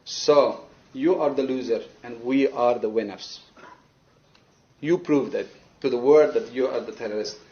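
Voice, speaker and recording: A man's voice: medium pitch, darkish tone, dull audio. Resolute man with an Afghan accent. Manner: earnest, halting, firm and resolute. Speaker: attack survivor giving a victim statement. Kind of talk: courtroom statement, partly spontaneous. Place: courtroom.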